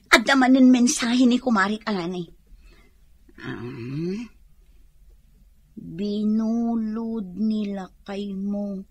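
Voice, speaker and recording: An actress with an Ilocano accent playing a nosy, excitable married village gossip in a radio comedy drama, exclaiming and talking to herself. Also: female voice, mid-range voice. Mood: puzzled, suspicious.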